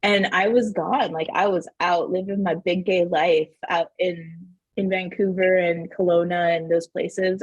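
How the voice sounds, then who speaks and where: A woman from Southern New Mexico whose first language is Spanish, telling family stories as a podcast guest in an animated way, laughing often and saying "like" a lot. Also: fairly low pitch, breathy edge, no echo, rough recording. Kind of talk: casual interview storytelling.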